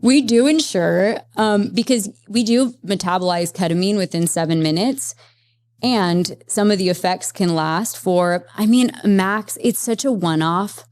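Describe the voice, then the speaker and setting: A woman therapist speaking as a podcast guest, explaining at length in a lively, conversational way with many fillers. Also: mid-range voice, a little slow. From Las Vegas, Nevada, USA. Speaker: guest, psychedelic integration therapist. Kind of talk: conversational interview.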